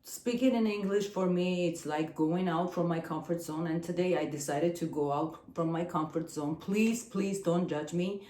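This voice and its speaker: A woman in her forties from Armenia, with an Armenian accent and Armenian as her first language, talking to the camera in a personal vlog, pausing often and searching for her words. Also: fairly low pitch, dark tone, echoey room. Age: 40s. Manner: hesitant, searching for words, frequent fillers. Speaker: singer and channel host.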